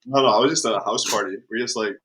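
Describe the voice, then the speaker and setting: A man's voice: medium pitch, rapid-fire, a bit bright. A young man with a mixed, hard-to-place accent, a guest musician chatting in an easygoing way, full of "like" fillers. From born in Glasgow, raised in Spain and Tanzania. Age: young adult. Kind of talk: casual chat.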